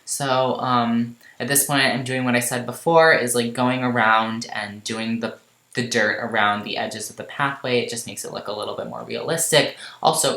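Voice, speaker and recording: A young man talks in a loose, rambling way, full of fillers, while he explains what he is building. His voice is bright and forward, and the room gives it a noticeable echo. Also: medium pitch. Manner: rambling, chatty, many fillers like "um" and "like". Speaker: channel host. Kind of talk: casual commentary over a speed build.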